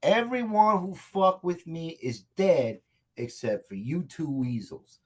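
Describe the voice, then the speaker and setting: A man acting a cocky, vengeful action hero in a comedy table read, with a husky voice and defiant speechifying. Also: on the high side, lively intonation, slow. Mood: vengeful, menacing.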